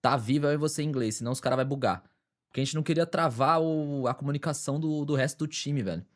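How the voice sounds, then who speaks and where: A man's voice: fairly high pitch, quick pace. A man from Brazil, a streamer, chatting casually and reacting to a video with lots of slang.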